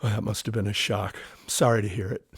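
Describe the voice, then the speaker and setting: A plainspoken war veteran, close and dry. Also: male voice, lowish voice, level tone, a little fast, breathy edge, dry recording. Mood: curious, friendly. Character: grown war veteran, curious, uneasy, haunted by captivity. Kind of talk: audio drama acting.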